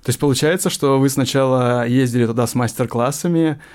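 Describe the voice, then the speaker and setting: A man's voice: medium pitch, brisk, darkish tone. A man interviewing someone informally, feeling his way through long, tentative questions.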